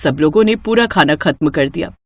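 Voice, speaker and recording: A woman's voice: low-pitched, lively intonation, fairly quick. A woman reading scripted narration for a cartoon moral story.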